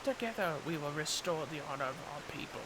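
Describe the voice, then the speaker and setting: Man playing a solemn, burdened fantasy warrior, expressive delivery. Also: on the high side, fast, noisy background, pitched above the performer's own voice, lightly acted. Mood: earnest resolve. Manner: grave, formal, archaic phrasing. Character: solemn, honorable warrior burdened by guilt and dread. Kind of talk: dramatic read-aloud of fantasy game dialogue. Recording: prominent music behind the voice.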